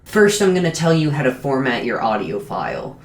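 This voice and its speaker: A man reading a scripted explainer announcement as the creator of an animated show. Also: mid-range voice, brisk, slight background noise. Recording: roomy, echoing voice recording.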